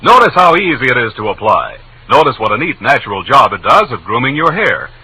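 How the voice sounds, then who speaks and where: A man delivering an emphatic, polished 1940s radio advertising pitch as a commercial announcer on an old broadcast recording. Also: very animated, a little fast, bright, forceful tone, slightly rough, poor audio.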